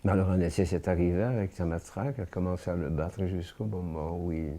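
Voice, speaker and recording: A man, giving a personal testimony in a grave, measured way with frequent pauses and restarts. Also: deep voice, fairly even intonation, warm tone. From born in France. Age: 80s.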